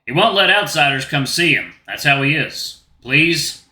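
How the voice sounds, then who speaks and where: A man's voice: a little high, animated, unhurried, sharp tone, full character voice. A man voicing a protective, secretive male companion in a visual novel, reassuring and matter-of-fact. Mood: persuasive. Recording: roomy echo with music behind.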